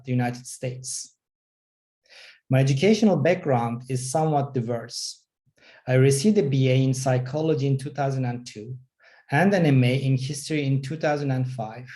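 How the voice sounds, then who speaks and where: Measured, mellow-voiced man from Turkey. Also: medium pitch. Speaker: art history professor. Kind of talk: prepared self-introduction.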